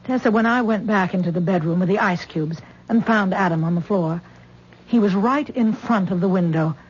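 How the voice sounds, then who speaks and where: An actress voices a fretful mother in middle age, in an old radio play. Her voice is slightly husky, and she talks in a coaxing, worried way. The broadcast sounds dull and muffled. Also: mid-range voice, normal speed, close to an everyday voice. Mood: anxious. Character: worried mother of grown daughter Tessa. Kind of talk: 1970s radio drama acting.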